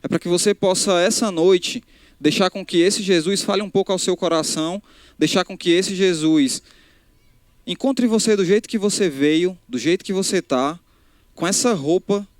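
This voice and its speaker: A man's voice: high voice, normal speed, no echo, studio quality. A young man preaching a casual live sermon, talking straight to the crowd and often restarting his sentences. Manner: conversational, addresses crowd directly, frequent restarts. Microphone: handheld microphone.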